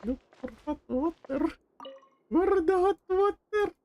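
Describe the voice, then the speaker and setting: A woman's voice: very high-pitched, animated, slow pace, muffled recording, slight background noise. A woman streaming a video game with casual live commentary, talking to herself in short repeated phrases.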